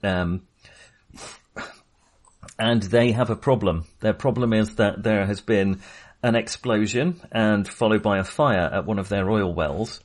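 A man co-hosting a film discussion, talking casually and haltingly with a wry manner. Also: fairly low pitch. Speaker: film podcast co-host.

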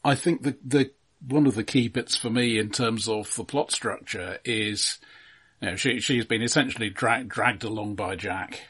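Husky man in measured film discussion. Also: on the low side, a bit bright. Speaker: film discussion podcast host. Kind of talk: casual analytical film discussion.

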